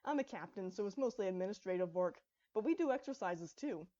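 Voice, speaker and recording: A woman's voice: mid-range voice, expressive, a little fast, dark tone, dull audio, close to an everyday voice. A woman voicing an upbeat, dutiful female royal guard captain in a story reading. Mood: content, matter-of-fact. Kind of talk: fan fiction story reading.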